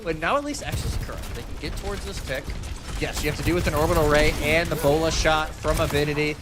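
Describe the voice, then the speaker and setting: A man's voice: fairly high pitch, quick pace. A man giving live esports commentary as an analyst, explaining the plays with emphasis.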